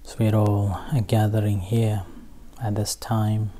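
A meditation teacher giving guided instruction in short, halting phrases with long pauses. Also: mid-range voice, flat delivery, dark tone, slight background noise.

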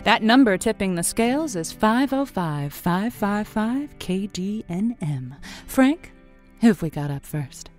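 A woman's voice: medium pitch, expressive, takes it slowly, soft background music. An actress playing a wry, feisty woman who hosts a late-night radio advice show, playful and musing in manner. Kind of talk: fiction podcast acting as late-night radio monologue.

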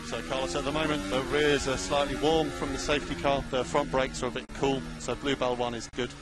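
A man working as a race engineer, giving terse, measured instructions to a driver over team radio and repeating key calls. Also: mid-range voice, flat delivery, loud music behind the voice.

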